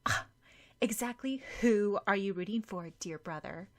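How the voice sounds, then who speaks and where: A woman's voice: medium pitch, measured pace, lightly acted. Woman voicing a haughty, sly young schemer. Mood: annoyed. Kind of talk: audiobook character dialogue.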